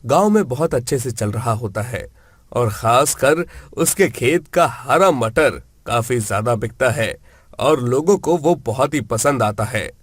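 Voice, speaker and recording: A man's voice: mid-range voice, animated, unhurried, breathy edge, no room sound, clean studio sound. A man narrating a scripted moral story as a storyteller.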